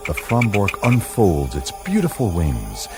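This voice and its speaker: Very deep, slow male narrator. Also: huge pitch swings. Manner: measured, suspenseful storytelling. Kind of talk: dramatic scripted radio play narration. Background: loud music.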